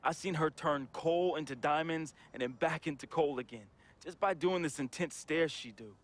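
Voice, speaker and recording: A man's voice: fairly high pitch, normal speed, natural voice. An actor playing a troubled young man in a game, reading a confessional farewell letter as a heartfelt voice-over with casual slang. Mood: somber. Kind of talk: emotional voice-over monologue. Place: studio voice-over.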